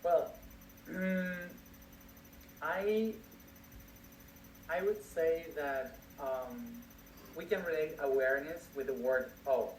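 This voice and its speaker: A man answering audience questions as a spiritual teacher, speaking slowly with many restarts and fillers. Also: a little high, a little hiss or hum. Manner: slow, searching speech with many restarts and fillers. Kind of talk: live Q&A, unscripted. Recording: echoey room, distant mic, faint crickets.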